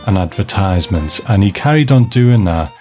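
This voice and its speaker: A man with a lowish voice hosts a scripted commentary on classic television. He explains things in a measured way, with soft music behind him. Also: animated. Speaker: podcast host.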